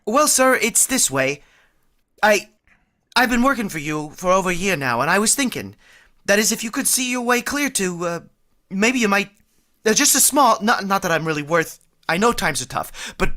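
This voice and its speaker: A woman's voice: mid-range voice, big pitch swings, moderate pace, a bit bright. Nervous stammering young clerk for a radio comedy, voiced by a woman, bouncy delivery. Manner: stammering, hesitant. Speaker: radio drama actor. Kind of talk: vintage radio comedy acting.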